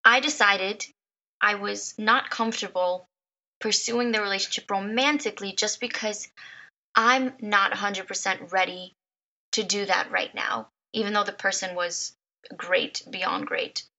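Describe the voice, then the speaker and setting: Slightly raspy, gravelly-voiced woman podcaster. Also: mid-range voice, fairly even intonation, a little slow, sharp tone, lo-fi sound. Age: 30s. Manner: emotional, repeats words, laughs often. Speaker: podcast host. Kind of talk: personal storytelling podcast.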